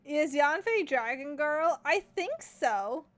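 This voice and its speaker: A woman giving casual live game commentary, chatty and thinking out loud as she reacts. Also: sits high, expressive, takes it slowly, soft background music. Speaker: game streamer.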